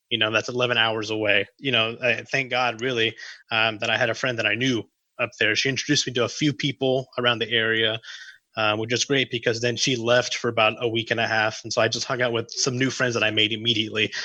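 Bright-voiced man in his twenties. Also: medium pitch, no echo, poor audio. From Tennessee. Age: 20s. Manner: chatty, rambling storyteller with "you know" and "um". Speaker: guest. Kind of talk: casual conversation.

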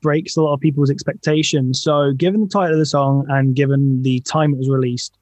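A man's voice: mid-range voice, steady tone, a little fast, a little mellow, no room sound. A man in a pop punk band, answering interview questions in a loose, earnest way full of fillers.

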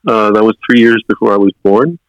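A man of sixty reminisces as an interview guest, telling anecdotes in an unforced conversational style. His voice sits low and has a gravelly fry. The sound is dry and a little dull, like radio. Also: fairly quick, dry recording. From born in United States, moved to Germany as a child.

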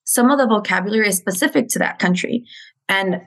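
A woman's voice: mid-range voice, brisk. Earnest woman teacher explaining. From Mexico. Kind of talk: interview conversation.

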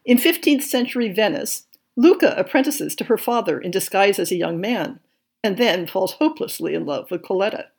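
A woman hosting a podcast, reading a scripted monthly update in a measured, enthusiastic way. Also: medium pitch. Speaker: podcast host.

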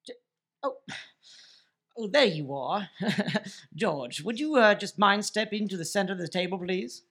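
An actor playing a flustered, earnest lab man in an improvised comedy radio play, speaking haltingly and coaxingly with a husky voice. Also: high voice, expressive, fairly bright tone, heavily acted. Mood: flustered, deferential. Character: flustered, soothing lab man presenting his mouse friend.

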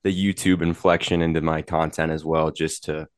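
A man from Wisconsin with a very deep voice, a little sick, chatting easily as an interview guest. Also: level tone, normal speed, clear, resonant tone, no echo, studio quality. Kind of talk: casual interview chat.

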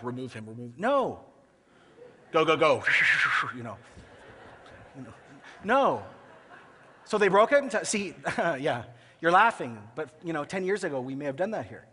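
A fairly high-voiced man preaching in a large auditorium, his words trailing into a long hall reverb. He grew up in Ecuador and talks to the congregation in a lively, conversational way, slipping into quick acted asides. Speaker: pastor. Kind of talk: sermon.

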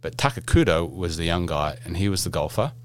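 A middle-aged man hosts a relaxed podcast chat in a low, deep voice. He rambles, jokes and restarts his sentences often, speaking in a loose, conversational way. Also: a little fast, slight background noise. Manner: chatty, rambling, jokey, many fillers and restarts. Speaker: podcast host. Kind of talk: casual podcast interview.

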